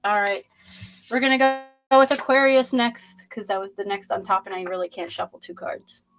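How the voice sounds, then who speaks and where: Expressive woman giving a live card reading. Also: medium pitch, normal speed, rough recording. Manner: blunt, emphatic, addresses the listener directly. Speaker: tarot reader hosting a livestream.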